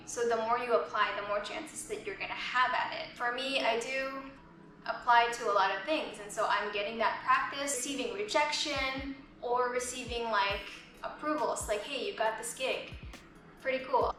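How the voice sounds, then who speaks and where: A woman in her twenties from the Philippines, vlogging casually as an artist in her studio, chatty and relaxed with lots of "like" fillers. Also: fairly high pitch. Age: 20s. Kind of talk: casual vlog chat. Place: art studio. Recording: echoey room with faint music.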